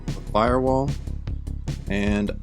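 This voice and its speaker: A man's voice: fairly low pitch, steady tone, takes it slowly. A man in his forties narrating a casual DIY car vlog in a wry, jokey way. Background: background music.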